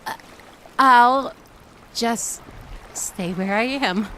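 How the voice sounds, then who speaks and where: A woman's voice: fairly high pitch, drawn-out words, sharp tone, slight background noise, heavily acted. A woman playing a shy, kind-hearted mermaid in a roleplay audio drama, with a flustered, hesitant, stammering manner. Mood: huffy, flustered.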